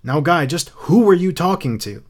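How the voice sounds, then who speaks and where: A man's voice: fairly high pitch, lively intonation, moderate pace, voice pushed up. A man voicing a bossy, nervous housewife in a storybook reading, chattering and coaxing with false sweetness. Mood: nervous scolding. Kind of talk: audiobook character acting.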